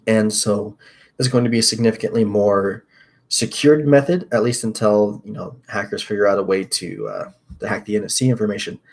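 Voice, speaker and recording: A man's voice: lowish voice, fairly even intonation, a little fast, creaky, darkish tone. A young man hosting a casual tech news livestream from a small room at his desk. He rambles upbeat with lots of fillers. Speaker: host.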